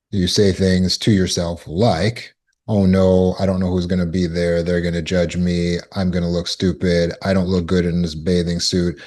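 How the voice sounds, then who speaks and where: Male self-help coach, very deep voice, slow and deliberate, repeats affirmations. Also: a little mellow. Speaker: trauma recovery coach. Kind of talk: self-help teaching talk.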